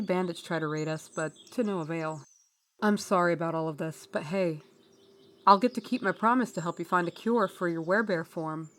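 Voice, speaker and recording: An earnest young rebel princess from a fantasy audio drama, acted out by a woman. She speaks in a measured, polite way, diplomatic and reassuring, with a streak of gentle exasperation. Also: fairly low pitch, pitched below the performer's own voice, close to an everyday voice. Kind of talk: fantasy audio roleplay acting.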